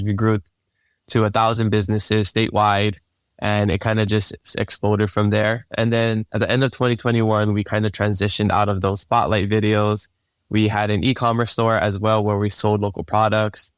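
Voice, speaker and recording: A man's voice: on the low side, normal speed, clean tone, dry recording. A young man from Hawaii whose first language is Hawaiian, casually telling his life story as a podcast guest with a laid-back manner. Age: young adult. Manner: laid-back, relaxed, repeats words when starting sentences.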